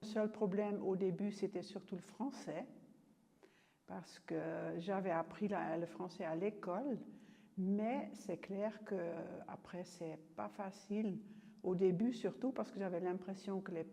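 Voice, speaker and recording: A woman's voice: mid-range voice, unhurried, warm tone. An older woman from the Netherlands, a former nurse recalling her life with small hesitations. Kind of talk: personal life story. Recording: reverberant room.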